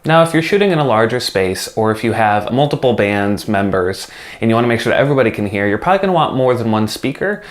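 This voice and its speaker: Low-voiced man in a casual tutorial. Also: expressive, quick pace. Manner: informal, conversational, explanatory. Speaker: video host, music video filmmaker. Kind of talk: casual instructional vlog talk to camera.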